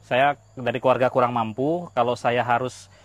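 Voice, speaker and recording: Mid-pitched, hesitant young man. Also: steady tone, normal speed. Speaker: interview guest, university lecturer. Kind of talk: casual personal testimony.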